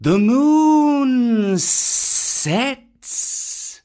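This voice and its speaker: A huge hypnotic python, drawling slowly. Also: high voice, very animated, mellow, voice pushed up, heavily acted. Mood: slow, menacing. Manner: slow drawn-out hypnotic commands. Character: huge hypnotic old python, commanding and menacing.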